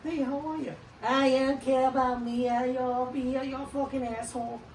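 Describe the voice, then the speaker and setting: A man doing a put-on Boston accent as a surly, foul-mouthed local guy, muttered low and quiet. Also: flat delivery, normal speed, reverberant, recorded from a distance, slight background noise, low-quality recording.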